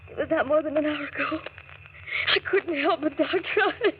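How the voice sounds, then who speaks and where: An actress playing an anguished, guilt-ridden, fearful mother in halting, tearful 1940s radio melodrama style. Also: high-pitched, close to an everyday voice. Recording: muffled, hissy 1940s radio broadcast.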